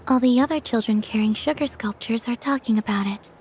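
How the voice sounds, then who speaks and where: A woman voicing a serene, childlike moon goddess in a video game, speaking softly and literally in a dreamy way. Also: fairly high pitch, noisy background, lightly acted. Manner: soft, slow, dreamy and literal. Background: game soundtrack music.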